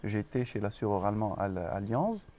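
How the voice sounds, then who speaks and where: Un homme d'âge moyen, originaire du Maroc, directeur d'école en entretien, parle de façon posée avec beaucoup d'hésitations. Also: male voice, on the low side, very animated, a crowd in the background. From Morocco. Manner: measured, many pauses and restarts. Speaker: school director. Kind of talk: interview.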